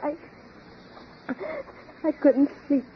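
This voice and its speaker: An actress playing a timid, distressed young woman, halting and emotional, in an old-time radio drama. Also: slight background noise. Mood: tearful, frightened. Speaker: actor in a radio detective drama. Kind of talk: 1930s-40s American radio acting. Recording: muffled vintage radio recording.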